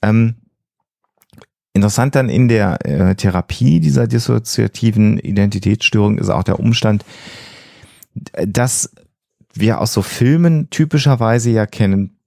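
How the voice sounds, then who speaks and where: A man explaining psychology on a podcast in a measured way, hesitating as he searches for precise wording. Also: lowish voice, creaky, a little mellow, no room sound, studio quality.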